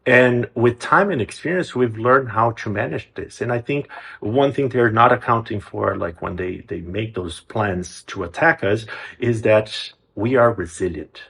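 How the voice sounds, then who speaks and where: A man from Brazil speaks in a low voice, earnest and searching for words. He restarts phrases as he goes, answering an interviewer with steady conviction. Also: a little slow, creaky. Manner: earnest, with frequent restarts and hesitations. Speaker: executive director of a music program.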